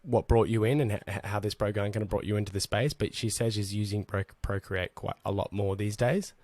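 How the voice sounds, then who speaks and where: A man with a low voice hosts a laid-back online chat, talking loosely and filling pauses with "um". The sound is dry and close, with little room around it. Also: no echo. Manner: relaxed, rambling, many fillers and restarts. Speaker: host.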